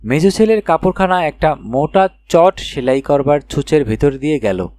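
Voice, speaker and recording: A man narrating a children's fairy tale audiobook in an expressive storytelling style. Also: a little high, moderate pace, no room sound.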